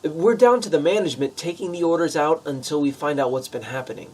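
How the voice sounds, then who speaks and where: A man plays a nervous pizza manager in a radio play, with a slightly high, husky voice. He is polite, hesitant and wary. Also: fast talker, darkish tone. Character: nervous, polite male pizza shop manager making a delivery.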